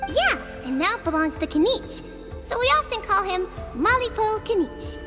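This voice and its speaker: A woman's voice: very high-pitched, animated, moderate pace, in a large hall, music in the background. A woman voicing a young girl of a tribe in a game, telling an old legend in an earnest, matter-of-fact way. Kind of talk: game dialogue voice acting.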